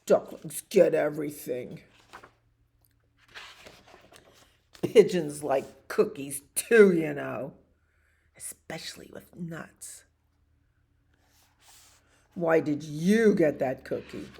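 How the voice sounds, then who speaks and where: An indignant storybook pigeon, played by a woman, whines and exclaims with theatrical outrage. The voice is creaky and leaps well up from a low base in dramatic complaint. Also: slow pace, pauses often, warm tone, full character voice. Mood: whining, outraged. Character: indignant, whining, dramatic pigeon who loves cookies. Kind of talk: children's storybook read-aloud.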